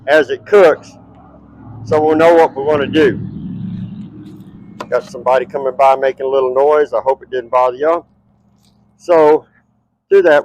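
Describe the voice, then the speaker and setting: A man's voice: mid-range voice, a little mellow, rough recording. A middle-aged man with a Texas twang, hosting a cooking show at an outdoor backyard table and chatting straight to his viewers.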